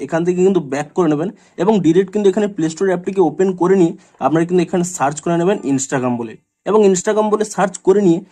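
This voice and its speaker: A man's voice: a little high, dark tone. A man narrating a step-by-step tutorial as a tech YouTuber, running instructions together in long chained sentences.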